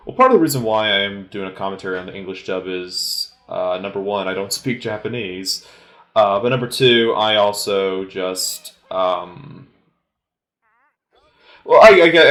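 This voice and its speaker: Man, low voice, roomy echo, hesitant rambling delivery, informal film commentary. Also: fairly bright tone. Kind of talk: informal solo movie commentary.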